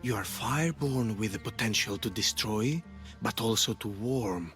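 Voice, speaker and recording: An actor playing a philosophical, quietly menacing cult storyteller in a video game, speaking in a measured, eloquent, theatrical way. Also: male voice, on the low side, close to an everyday voice. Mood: reflective, courteous. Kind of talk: video game dramatic acting. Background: music.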